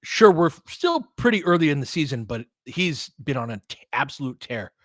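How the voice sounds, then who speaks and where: A young man doing live play-by-play commentary, excitable, with quick asides and frequent laughs. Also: fairly high pitch, dry recording, clean studio sound. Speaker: fan play-by-play host.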